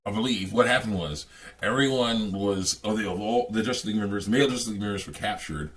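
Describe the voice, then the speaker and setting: A man's voice: on the low side, quick pace, fairly bright tone. A man hosting a reaction video, commenting casually on game cutscenes in a rambling, repetitive, exasperated way.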